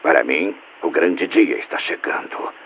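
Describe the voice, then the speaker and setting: A sinister male game villain, extremely low and gravelly. He speaks with smug, mocking politeness and measured menace. The sound is a muffled, noisy tape. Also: animated, fairly bright tone, exaggerated voice. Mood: coldly menacing. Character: calm, condescending, sinister male mastermind villain. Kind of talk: dubbed video game acting. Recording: muffled noisy taped radio-like recording.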